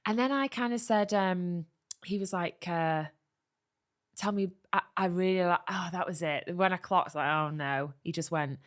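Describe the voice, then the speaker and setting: A woman's voice: fairly low pitch, moderate pace. A woman co-hosting a comedy podcast, telling an anecdote in casual chat and acting out the quotes with lots of laughter. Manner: animated storyteller who acts out quotes, laughing often. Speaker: podcast co-host.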